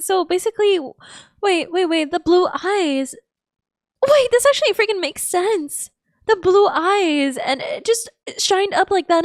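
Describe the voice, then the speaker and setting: A woman live-streaming her excitable, emotional reaction to a game, exclaiming and casually swearing. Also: very high-pitched, dry recording, clean studio sound. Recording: game music behind. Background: game music.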